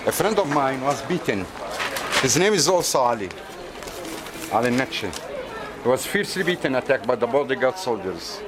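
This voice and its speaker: A man's voice: medium pitch, sing-song, normal speed, pauses often, noisy background. A man with an Arabic accent, a tour guide who speaks Arabic natively, walking visitors through a busy outdoor market and insistently pointing things out. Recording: loud street crowd behind.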